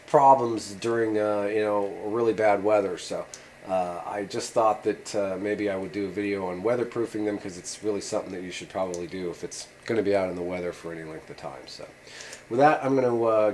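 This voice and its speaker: A man's voice: on the low side, darkish tone, a little hiss or hum. A man in a home workshop giving a rambling, casual how-to talk with many fillers. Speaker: channel host demonstrating a repair.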